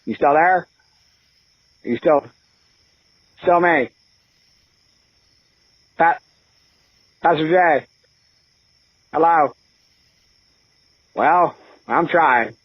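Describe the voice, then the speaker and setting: Comedy call-in part, male actor: confused, grumpy caller, halting and husky, muffled lo-fi phone sound. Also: a little high, no echo. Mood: irritated, confused. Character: irritable, confused phone caller to radio show. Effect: phone line filter. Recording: dull lo-fi phone line.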